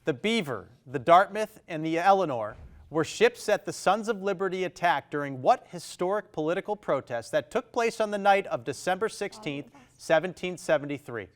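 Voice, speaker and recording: A man with a Maine accent hosting a televised high school quiz show, reading questions briskly and reacting quickly to the answers. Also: animated. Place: television studio.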